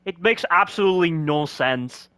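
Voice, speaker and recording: Critical male game commentator, bright voice. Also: on the high side, lively intonation, moderate pace, clear, resonant tone. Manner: critical, full of rhetorical questions. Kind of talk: critical gameplay commentary over cutscenes.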